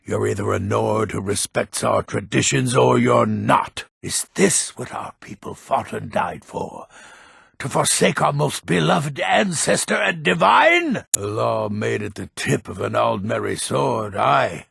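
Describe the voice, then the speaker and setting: Casting: grumpy, proud old Nord townsman for a fantasy game; very raspy, heavy gravel, blunt and folksy. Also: medium pitch, lively intonation, a little slow, a bit bright, dry recording, studio quality, full character voice. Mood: scornful, angry. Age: older. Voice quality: gravelly, husky. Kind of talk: fantasy video game character acting.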